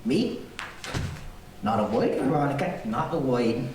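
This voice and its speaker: A very echoey, distant recording of a woman playing a teenage boy sidekick in a radio comedy. The replies are short, hesitant and goofy, with pitch that jumps around good-naturedly. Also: animated, measured pace, darkish tone. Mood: awkward, evasive. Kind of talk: old-time radio comedy acting. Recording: distant, very echoey room with hiss.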